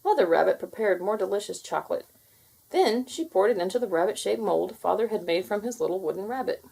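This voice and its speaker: A woman narrating a children's storybook in an expressive, deliberate read-aloud manner. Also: mid-range voice, gravelly, husky, rough recording.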